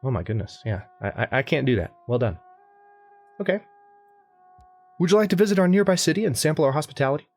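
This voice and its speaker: A man's voice: fairly high pitch, animated, quick pace, long pauses, no room sound. A young man casually commenting on a strategy game as he plays, thinking aloud and often correcting himself. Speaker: let's play host. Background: faint game music.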